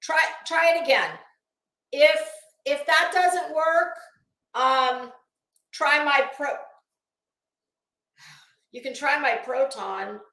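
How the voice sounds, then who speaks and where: A woman's voice: high-pitched, slow, pauses often, pushed and bright. A woman hosting a casual livestream, talking to her viewers in a blunt, sarcastic, scornful way and swearing freely, in a roomy, echoing recording. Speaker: livestream host.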